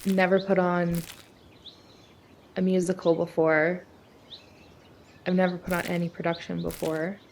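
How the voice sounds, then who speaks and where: A woman being coached, talking casually and thinking aloud with a halting, filler-heavy delivery. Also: fairly low pitch, little pitch movement, gravelly, a little mellow, a little hiss or hum. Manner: halting, conversational, frequent hedging fillers.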